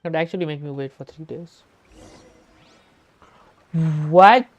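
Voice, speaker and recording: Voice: medium pitch, slow. A game streamer giving casual live commentary while playing.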